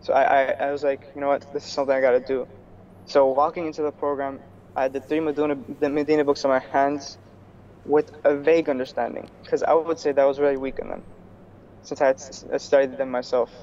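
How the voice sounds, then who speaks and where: A young man from New York, a student guest in a casual video-call interview, talking in a relaxed way with many fillers and restarts. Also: mid-range voice, quick pace, slight background noise. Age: young adult. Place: small room indoors. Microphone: earphone headset on video call. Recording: slightly dull video call audio.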